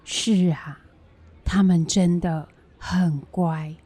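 Woman acting a slow, husky grandmother. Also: fairly low pitch, steady tone, warm tone, no room sound, full character voice. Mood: fond, relaxed. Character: kindly grandmother raising her grandchildren, fond of fish heads. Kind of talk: children's educational radio drama acting.

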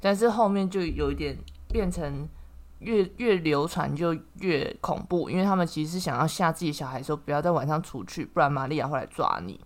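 A woman's voice: sits low, brisk. A woman with a Taiwanese Mandarin accent telling stories in a casual, colloquial, exclamatory way as a podcast co-host.

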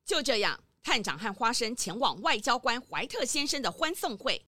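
Female narrator, children's story, scripted, lively intonation, higher voice, strong music bed. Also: moderate pace. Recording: prominent music under the voice.

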